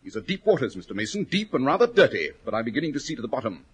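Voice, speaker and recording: An actor playing a shrewd British gentleman detective, clipped and wry, in 1940s radio drama style. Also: mid-range voice, very animated, very fast, slightly rough. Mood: brisk, decisive. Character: shrewd, dry, incisive English gentleman detective.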